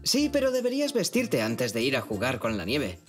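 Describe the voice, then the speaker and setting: A man's voice: medium pitch, wildly swooping pitch, normal speed, faint music behind. A man voicing a playful, clumsy father zebra in a dubbed cartoon, teasing and prone to yelps and whoops.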